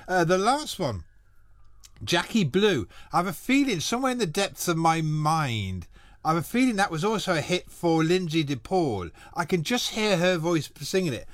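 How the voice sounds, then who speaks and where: A man's voice: on the high side, sing-song, normal speed, no echo. A man presenting a radio music show, chatting casually and rambling between songs with frequent laughs. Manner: chatty, rambling, self-deprecating, laughs often. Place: small radio studio. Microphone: studio microphone.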